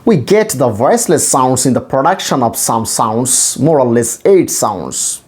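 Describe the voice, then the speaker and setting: Slow-paced Bangladeshi man teaching pronunciation. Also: medium pitch, animated, a little mellow. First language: Bengali. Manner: deliberate, repetitive, demonstrative. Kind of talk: instructional pronunciation lesson.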